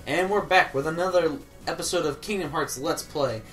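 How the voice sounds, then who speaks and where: A man's voice: on the high side, moderate pace, fairly bright tone, distant mic, soft background music. A young man giving casual, joking let's play commentary, rambling and swearing freely.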